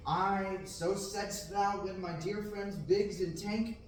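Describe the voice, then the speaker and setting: Young man as a dreamy farm boy, high voice, echoey classroom from across the room, faint hiss. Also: steady tone, takes it slowly, far from the mic. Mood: earnest. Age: young adult. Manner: earnest, declaiming, occasional slips. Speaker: student actor at a table reading. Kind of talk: reading Shakespearean verse aloud. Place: large classroom, indoors. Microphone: far camera.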